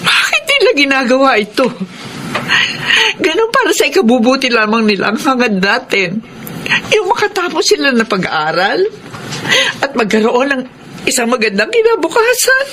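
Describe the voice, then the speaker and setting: A woman's voice: high voice, very animated, normal speed. A Filipino actress playing a strict, worried middle-aged mother in a radio drama. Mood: hurt, worried. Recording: noisy radio drama recording.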